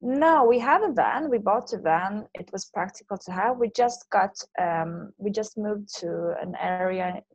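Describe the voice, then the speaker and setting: A woman's voice: medium pitch, lively intonation, unhurried, hardly any pauses, darkish tone, breathy edge, no room sound, lo-fi sound. A woman from Sweden, speaking loosely phrased second-language English as a guest in a casual interview. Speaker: interview guest, online language teacher and entrepreneur.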